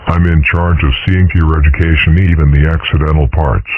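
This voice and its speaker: A man's voice: rumbling low, fairly even intonation, fairly quick, no echo. A man voicing an urbane, composed, dryly scheming cartoon father, speaking with formal understatement in a comic strip dub. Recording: muffled phone-like audio.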